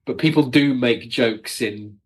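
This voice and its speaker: A man's voice: medium pitch, a little slow, breathy edge. A British man chatting casually as an interview guest, self-deprecating and wry, talking as a writer.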